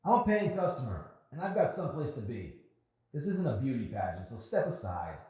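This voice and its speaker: A man's voice: medium pitch, very animated, brisk, mellow, pitched above the performer's own voice, natural voice. A man voicing a rude, impatient, sarcastic male customer in an audiobook, barking his words. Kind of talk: acted audiobook dialogue. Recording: very echoey, reverberant room.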